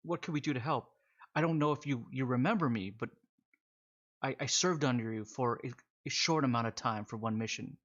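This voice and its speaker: A man's voice: medium pitch, a little fast, a bit bright, dull audio, natural voice. A man voicing a young male resistance corporal in his twenties, stammering and reverent. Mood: awed, earnest. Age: 20s.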